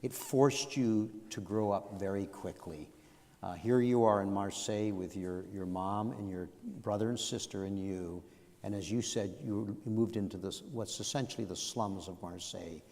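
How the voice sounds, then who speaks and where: A man hosting an interview, gently prompting his guest with questions and frequent soft acknowledgments. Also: on the low side, fairly even intonation, normal speed. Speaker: interviewer host. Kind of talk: guided interview. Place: museum auditorium. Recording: long hall reverb.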